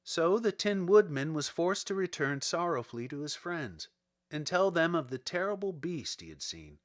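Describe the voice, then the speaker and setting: A man reading a storybook aloud as narrator, in an expressive, deliberate manner. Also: fairly high pitch. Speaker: audiobook narrator.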